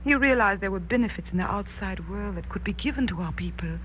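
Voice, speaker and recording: An actress playing a young, guarded royal ruler with a formal, measured manner in a 1940s radio drama. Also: female voice, medium pitch, expressive, a bit bright, natural voice. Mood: earnest. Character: imperious, guarded young Rani of a mysterious kingdom. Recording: dull, noisy old radio recording.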